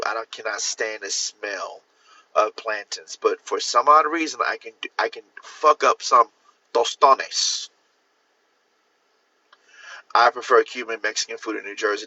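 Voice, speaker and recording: A man from Miami chatting casually on a livestream, rambling with restarts and jumping up in pitch to stress a point. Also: pushed and bright, lo-fi sound. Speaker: author hosting a livestream. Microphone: phone or computer mic.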